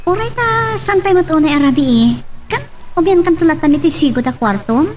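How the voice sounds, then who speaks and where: A woman playing an excitable, chatty character in an Ilocano radio love drama, a little distant from the microphone. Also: extremely high pitch, fairly quick, noisy background. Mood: animated.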